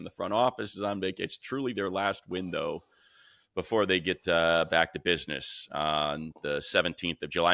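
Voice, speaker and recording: A man's voice: low-pitched, bright, forceful tone, no room sound. A man co-hosting a sports podcast as an announcer, talking casually and informally with rambling asides. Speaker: sports radio announcer and co-host.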